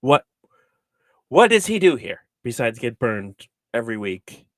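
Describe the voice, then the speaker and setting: A man's voice: mid-range voice, very animated, a little slow, fairly bright tone, no room sound, studio quality. A man on a sports podcast who rambles and hesitates, with frequent restarts, as he gives his frustrated take on the game. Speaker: podcast co-host. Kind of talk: casual sports podcast talk.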